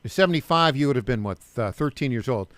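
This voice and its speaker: A man's voice: mid-range voice, expressive, quick pace, a bit bright, no room sound. A man hosting a talk radio show, interviewing a guest with direct questions and frequent stumbling restarts.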